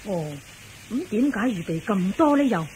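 Good-humoured nosy auntie played by a woman, Hong Kong Cantonese; very lively intonation, exclaiming, faint recording noise. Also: medium pitch, moderate pace, close to an everyday voice. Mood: curious, surprised. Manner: exclaiming, gossipy, colloquial. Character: good-humoured, nosy middle-aged working-class auntie. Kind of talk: Cantonese radio drama acting. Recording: noisy old radio drama recording.

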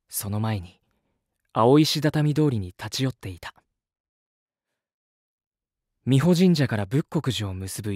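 Male lead for voice drama: polite, earnest reporter, medium pitch, gentle, expressive, easily amazed. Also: dry recording, studio quality. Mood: reflective. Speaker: voice actor narrating as lead character. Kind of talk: first-person voice drama narration and dialogue.

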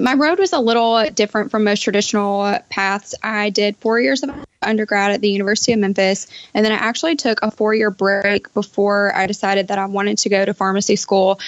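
A woman in her thirties explains things as an interview guest, keeping her intonation very even. The sound is dry and a little lo-fi, as from an internet call. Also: medium pitch, fairly quick, hardly any pauses, no room sound. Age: 30s. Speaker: podcast guest, pharmacist. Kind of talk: conversational interview answers. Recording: slightly dull online-call audio.

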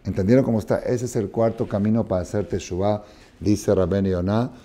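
A man in his sixties teaching a Torah class in a study hall, expressive and often asking his listeners whether they follow. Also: lowish voice, brisk. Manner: expressive, questioning listeners, acting out dialogue. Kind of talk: animated Torah lesson with parables. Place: study hall with wooden chairs, indoors.

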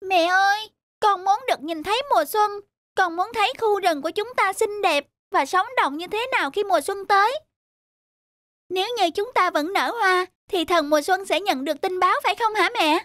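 Very high-pitched little flower child. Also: female voice, normal speed, a bit bright, no room sound. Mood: hopeful, pleading. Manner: earnest, childlike. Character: innocent, kind-hearted little snowdrop flower child. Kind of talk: children's cartoon dubbing.

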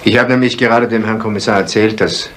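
A man acting as an obliging, evasive village neighbour in a seventies radio play. His voice is deep. A faint noise lies under the old recording. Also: fairly even intonation, quick pace, fairly bright tone, natural voice. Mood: uneasy, obliging. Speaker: actor in a crime radio play. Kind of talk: 1970s German radio drama acting.

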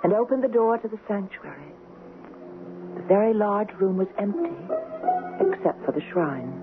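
An actress playing an earnest, dreamy young engaged woman in a 1970s radio drama, speaking with dramatic pauses. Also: fairly low pitch, phone-quality sound. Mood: haunted, reflective. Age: young adult. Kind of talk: 1970s radio drama acting, narrating and dialogue. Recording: noisy, thin band-limited old radio broadcast.

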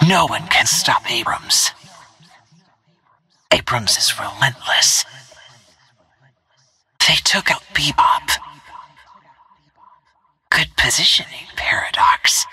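A lethal, coolly taunting female assassin from a video game. Her voice is low and very breathy, often close to a whisper. She speaks very slowly and deliberately, with poised menace. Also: very animated, pushed and bright, no room sound, exaggerated voice. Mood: cool, approving. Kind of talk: video game character voice lines.

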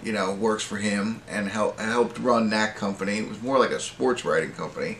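A middle-aged American man giving a casual, unscripted movie review in a halting, rambling way, often correcting himself. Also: on the low side, a bit bright. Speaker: amateur movie reviewer and host. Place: small indoor wood-panelled room at home. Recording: roomy echo with faint background noise.

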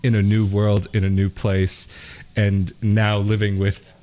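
A man's voice: lowish voice, completely flat delivery, unhurried, a little mellow. A young man with a Portuguese accent hosting an interview podcast, talking at length with fillers into a studio microphone. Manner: chatty, explains at length with fillers. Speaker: podcast host. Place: home studio, in front of a picture backdrop. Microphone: studio mic on a boom, with headphones.

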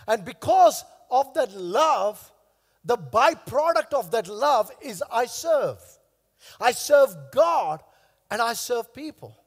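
A man in his thirties, a pastor with a hoarse, worn voice, preaching emphatically on a church stage into a headset mic, repeating key phrases. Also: very high-pitched, big-room sound. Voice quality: coughing now and then. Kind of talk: sermon. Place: large church stage with long reverb.

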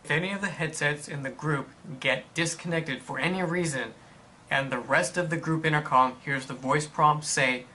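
A man reading scripted instructional narration in a measured, explanatory manner for a product tutorial. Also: fairly high pitch, bright, forceful tone, a little hiss or hum.

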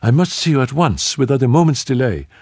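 A man's voice: medium pitch, big pitch swings, a little fast, a little mellow, slightly rough, no echo, clean studio sound, pitched above the performer's own voice. A man reading aloud, voicing a lilting young woman pleading urgently over the telephone.

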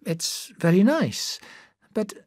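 A gentle young wife, soft and questioning, as a male reader puts her on. Her voice is raised high with lively swings. Close, dry reading. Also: slow pace, dry recording, heavily acted. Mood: hesitant.

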